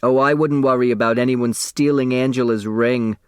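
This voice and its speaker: A man's voice: mid-range voice, fairly even intonation, moderate pace, clear, resonant tone, no room sound, clean studio sound, lightly acted. A man voicing a fussy, dramatic, haughty and scornful woman in an audiobook. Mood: indignant. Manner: haughty, indignant.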